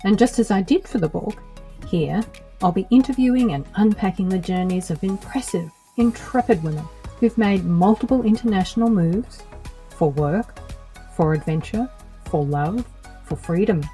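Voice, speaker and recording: A middle-aged woman with an Australian accent, hosting her own podcast in a measured, earnest scripted narration. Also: medium pitch, lively intonation, dark tone, soft background music. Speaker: podcast host.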